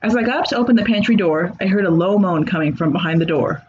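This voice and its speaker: A man's voice: sits high, quick pace, highs cut off, a little hiss or hum. A man reading a comedic horror story aloud with a dry, sarcastic delivery. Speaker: narrator. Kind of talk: comedic creepypasta narration.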